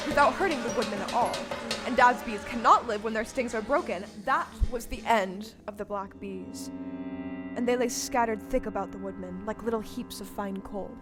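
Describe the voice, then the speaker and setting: A woman's voice: fairly high pitch, lightly acted. A woman playing the storyteller of a fairy-tale audio play, narrating in a theatrical, scripted way. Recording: live stage show with loud music underneath.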